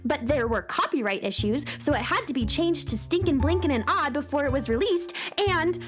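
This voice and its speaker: A woman's voice: sits high, expressive, brisk, over music. A woman playing a perky, chatty young girl space cowgirl in a children's audio drama. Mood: bright, eager. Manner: chatty, bubbly, girlish. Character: perky girl space cowgirl who wrangles lost sounds.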